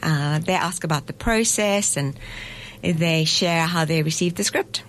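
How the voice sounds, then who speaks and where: A woman's voice: low-pitched, measured pace, a little hiss or hum. A woman being interviewed in a radio studio as a festival director, explaining things step by step in a chatty way and laughing easily. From South Africa.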